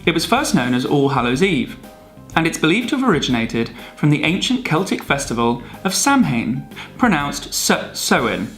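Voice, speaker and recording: Jokey male presenter with lively delivery. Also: on the high side, normal speed, soft background music. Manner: jokey, theatrical. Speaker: video co-presenter. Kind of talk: scripted explainer narration with comic banter.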